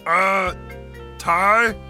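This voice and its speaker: A man voicing a simple, eager little dinosaur sidekick in a cartoon parody, with a gravelly voice. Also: high voice, steady tone, very slow, pushed and bright, soft background music, exaggerated voice. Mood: eager, dim. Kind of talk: fan-dub parody cartoon acting.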